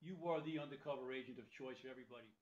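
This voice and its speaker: A man's voice: medium pitch, racing. A man hosting an interview, reminiscing energetically with his guest. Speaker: host. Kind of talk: interview conversation. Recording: dull lo-fi sound in an echoey room.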